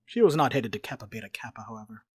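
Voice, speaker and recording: A man narrating a dramatic reading as a storyteller, with expressive, scripted delivery. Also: lowish voice, very fast, husky, no room sound, clean studio sound.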